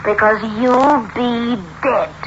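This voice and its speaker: An actress playing a menacing, murderous evil double in an old radio drama, taunting her victim with slow, deliberate delivery. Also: on the high side. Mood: gloating, taunting. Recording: noisy, muffled old radio recording.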